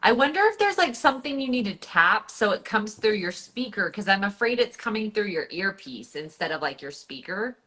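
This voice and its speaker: Bright-voiced chatty woman greeting viewers. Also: medium pitch, sing-song, moderate pace, hardly any pauses. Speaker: guest coach. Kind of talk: casual livestream chat.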